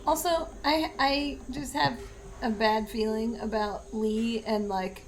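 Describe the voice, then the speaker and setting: A woman's voice: fairly high pitch, fairly even intonation, slow, a little hiss or hum, rough recording. A woman playing a tabletop roleplaying game, talking casually and a little hesitantly. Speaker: player in an actual-play show.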